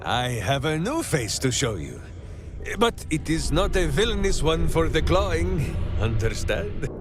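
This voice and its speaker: An eccentric, earnest warrior hero from a fantasy game, bellows theatrically. His voice is fairly high and slow, leaping up and down, with music behind it. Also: big pitch swings.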